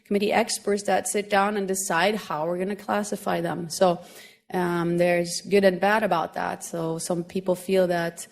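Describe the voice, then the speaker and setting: A woman with a Swedish accent delivers a medical lecture in a fairly low voice. She explains her points patiently and adds small touches of humor, often pausing and restarting. She speaks in a room with an audience listening. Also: little pitch movement, gravelly. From Finland. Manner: explanatory, gently humorous, many hesitations. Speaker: grand rounds lecturer, pediatric gastroenterologist.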